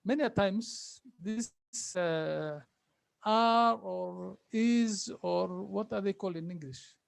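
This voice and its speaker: A high-pitched man teaches a class. He repeats each phrase slowly and keeps asking his students questions. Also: creaky. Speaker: Arabic teacher.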